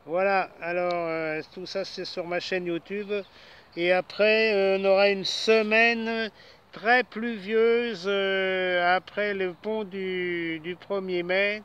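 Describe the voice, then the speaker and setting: A man chatting as an amateur operator over shortwave radio, pausing and repeating phrases. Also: high voice, flat delivery, fairly bright tone, clear, resonant tone, lo-fi sound. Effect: single-sideband shortwave radio. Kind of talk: casual radio sign-off chat.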